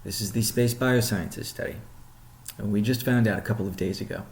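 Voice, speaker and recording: A man leading an informal business update call as a chief executive, explaining things in a measured way with pauses mid-sentence. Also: on the low side, creaky. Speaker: company CEO hosting a weekly update call. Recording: roomy, echoing sound.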